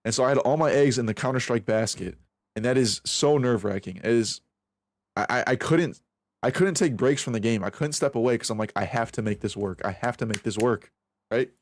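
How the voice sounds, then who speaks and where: A man's voice: medium pitch, fairly quick, no echo. A man in his twenties streaming late at night, rambling casually and profanely about practice, pressure and backup plans. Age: 20s. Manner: rambling, profane, self-reflective, restarts a lot. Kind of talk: casual stream chat while aim training.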